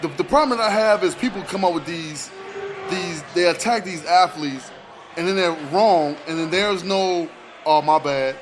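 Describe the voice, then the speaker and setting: A high-pitched American man co-hosts a sports radio show. He talks in loose, slangy banter and doubles up on words and phrases to drive his point home, in an animated way. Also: normal speed, slight background noise.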